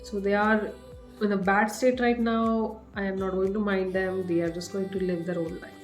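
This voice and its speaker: A woman's voice: mid-range voice, level tone, moderate pace. A young woman with an Indian English accent, her voice a little groggy from a cough, chatting casually through a makeup review with plenty of fillers and restarts. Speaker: beauty vlogger. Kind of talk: casual product review to camera. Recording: echoey room with faint music.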